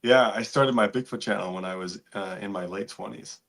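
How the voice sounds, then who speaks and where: Lowish-voiced man of forty, chatting casually. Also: fairly quick, creaky, a loud crowd. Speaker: podcast guest. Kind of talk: casual chat. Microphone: online video call.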